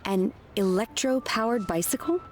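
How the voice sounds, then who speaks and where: A woman voicing an earnest, reserved young female disciple in a video game, polite and measured. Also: medium pitch, close to an everyday voice. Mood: matter-of-fact.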